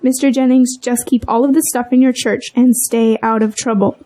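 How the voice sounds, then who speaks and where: An actress playing a stern, unsympathetic defense lawyer in an audio drama, speaking firmly and in an admonishing way. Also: female voice, on the high side, fairly even intonation, moderate pace, dark tone, natural voice, low-quality recording. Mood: stern warning. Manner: firm, admonishing.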